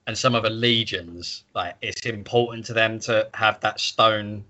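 A man talks casually as a podcast host discussing a book. His voice is bright and punchy, and his manner is irreverent and sweary. The audio is a little dull and lo-fi. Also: on the low side, fairly even intonation, unhurried.

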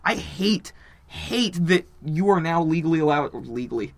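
A man's voice: a little high, animated, unhurried. A man in his twenties, rambling and opinionated in a casual chat as podcast co-host. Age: 20s.

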